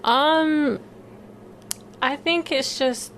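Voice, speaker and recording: A woman's voice: sits high, very slow, fairly bright tone, a little hiss or hum. A young woman with a South London accent, a singer-songwriter talking casually and informally as an interview guest, retelling things as quoted conversation. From South London, born in Colombia. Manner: informal, anecdotal, quoting conversations. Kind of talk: casual chat.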